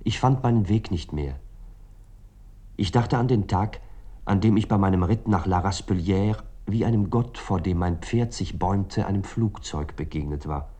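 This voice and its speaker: An actor playing a reflective writer recounting a night of war in a literary radio drama, articulate and brooding. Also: male voice, sits low, normal speed, dark tone. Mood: haunted, brooding.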